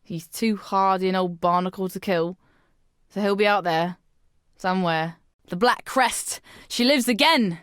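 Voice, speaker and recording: A woman plays a proud, bawdy young pirate seafarer from a video game. She swaggers through salty old-time sailor slang in a medium-pitched voice. The recording is very dry, with no room to it. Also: measured pace, a bit bright, clear, resonant tone, no echo, lightly acted. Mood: triumphant. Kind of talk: video game character dialogue.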